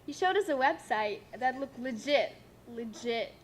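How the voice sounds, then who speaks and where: A woman's voice: sits high, expressive, measured pace, slight background noise. A young woman, giving a prepared tribute speech as a student, giggly and self-conscious between lines. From Nepal. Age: late teens.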